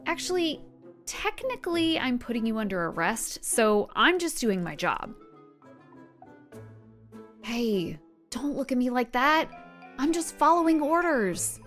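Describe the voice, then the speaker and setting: A woman's voice: high-pitched, very animated, a little slow, long pauses, a bit bright, soft background music, heavily acted. An actress playing a dutiful, apologetic young female superhero in an awkwardly placating manner.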